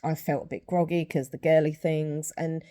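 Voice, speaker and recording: Chatty woman with a low, mellow voice. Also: fairly even intonation, normal speed. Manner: chatty, rambling with fillers. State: slightly sniffly, sore throat. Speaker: vlogger. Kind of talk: casual vlog chat.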